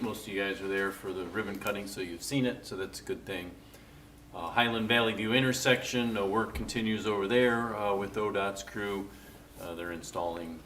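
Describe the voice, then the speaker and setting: Low-pitched man, project update, distant from mic, faint background noise. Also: fairly bright tone. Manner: matter-of-fact, frequent uhs. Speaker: city department director. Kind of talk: project update at a council meeting.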